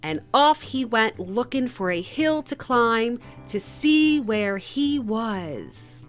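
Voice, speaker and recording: A woman reading a children's picture book aloud in an expressive, measured storytelling manner. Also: fairly high pitch, soft background music. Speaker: read-aloud host.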